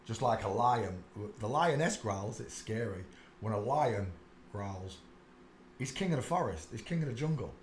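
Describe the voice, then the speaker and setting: A man's voice: lowish voice, animated, moderate pace, a little hiss or hum. A man hosting his channel, talking insistently in a casual, rambling monologue while going through pictures, with many asides and "uh"s. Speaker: channel host. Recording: echoey room with faint ambience.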